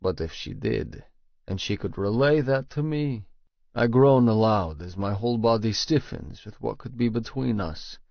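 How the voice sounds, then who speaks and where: A man reads first-person romance fiction in a creaky, gravelly voice. His delivery is slow and deliberate, in a dry, slightly muffled recording. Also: mid-range voice, dry recording. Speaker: audiobook narrator.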